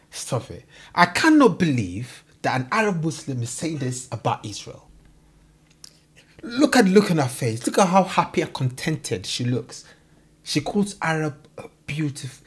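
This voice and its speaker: A woman with a deep, husky voice reacts to a clip as host of her own channel. She talks emphatically and repeats key phrases to drive them home. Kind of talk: reaction commentary.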